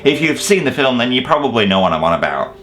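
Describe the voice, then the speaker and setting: A man's voice: medium pitch, very animated, fast talker, fairly bright tone, soft background music. A man hosting a countdown review, delivering semi-scripted commentary in a jokey, casually sweary way. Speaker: video reviewer and host.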